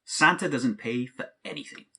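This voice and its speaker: A man's voice: mid-range voice, normal speed, bright, forceful tone, slightly rough. An actor playing a cynical, exasperated young man in an audio drama, with a sardonic, disbelieving way of talking. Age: young adult. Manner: sardonic, incredulous. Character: cynical adult man, exasperated, let-down Christmas sceptic, best friend. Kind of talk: naturalistic audio drama dialogue.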